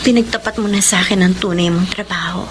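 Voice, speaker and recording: An actress playing a principled, worried young sweetheart in a radio drama, earnest and pleading. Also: female voice, lowish voice, steady tone, fast talker, close to an everyday voice. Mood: pleading, concerned. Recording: noisy radio drama track.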